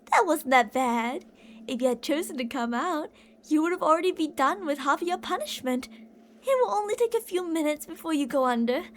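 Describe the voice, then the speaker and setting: A woman's voice: high voice, a little hiss or hum. A woman playing an obsessive older female kidnapper in an ASMR roleplay, cooing and possessive, doting yet menacing, with theatrical delivery. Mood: soothing, sinister. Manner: cooing, possessive, theatrical, with pet names and whispered phrases.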